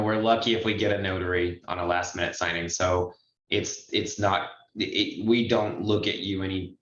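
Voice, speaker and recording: A low-voiced man explains things in a candid, instructive way as a trainer in an online question session. The audio is echoey and somewhat dull, like a group video call. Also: fairly bright tone, slight background noise. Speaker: notary trainer. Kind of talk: informal teaching in online Q&A.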